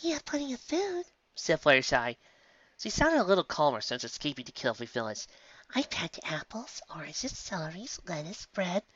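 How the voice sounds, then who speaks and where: A performer voicing a timid, gentle, easily frightened female pegasus pony in a storybook reading. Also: medium pitch, huge pitch swings, moderate pace, dull audio, pitched above the performer's own voice. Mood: timid, calmer.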